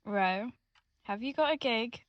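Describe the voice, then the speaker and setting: Anxious young woman in love, played by an actress, higher British voice, pleading, close dry audio drama sound. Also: unhurried, no room sound, lo-fi sound, lightly acted. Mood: worried, sleepy. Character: young woman lover, anxious, pleading, secretive about her relationship. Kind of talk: intimate audio drama acting.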